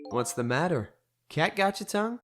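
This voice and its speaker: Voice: mid-range voice, sing-song, moderate pace, fairly bright tone. A performer voicing a wry, composed spirit detective in a game fan dub, with a dry, teasing, reflective manner. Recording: music behind the voice.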